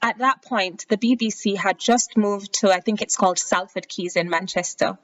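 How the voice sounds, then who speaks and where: A woman's voice: mid-range voice, normal speed, poor audio. A young adult woman with a Jamaican accent, hosting a podcast and telling her own story in a chatty, casual way. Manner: chatty, animated when quoting others. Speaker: podcast host.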